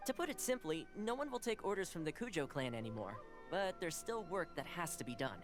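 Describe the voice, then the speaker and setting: A man's voice: fairly high pitch, sing-song, brisk. A man voicing a friendly, diplomatic young household envoy in a video game, courteous and easygoing as he explains. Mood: explaining, diplomatic. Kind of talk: game dialogue. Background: soft game music.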